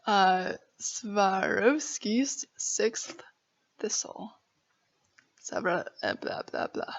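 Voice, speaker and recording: An American woman chats casually to camera with a stutter and a creaky voice. She speaks very slowly and haltingly, apologetic and giggly as she goes. The audio is dull and slightly muffled. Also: medium pitch, expressive. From Arizona, United States. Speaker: vlogger.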